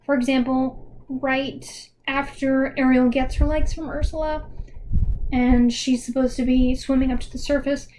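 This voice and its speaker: A woman's voice: high voice, little pitch movement, a little slow, darkish tone, a little hiss or hum, poor audio. A young woman giving a casual video essay commentary in a chatty, informal way with dry, joking asides. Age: young adult.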